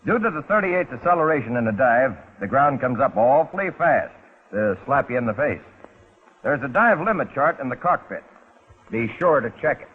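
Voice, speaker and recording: A male American narrator delivers scripted 1940s military-style instruction through a thin, phone-like old film soundtrack. His voice is medium in pitch and rough around the edges. He explains step by step with a folksy, wry streak.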